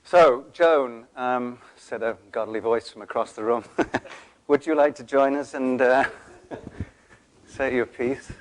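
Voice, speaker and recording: A man moderating a conference session, introducing the next speaker in a halting way with frequent fillers. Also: medium pitch, a little hiss or hum. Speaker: panel moderator.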